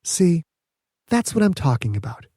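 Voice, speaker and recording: A calculating woman governor from an audiobook, voiced by a man. The delivery is smug, pointed and measured, with a mellow, fairly high voice. The sound is dry, without room. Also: very animated, breathy edge, no room sound. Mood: scolding.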